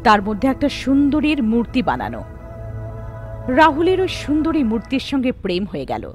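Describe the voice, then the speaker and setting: Female storyteller, high pitch, scripted horror narration, light music behind. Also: huge pitch swings, a little fast.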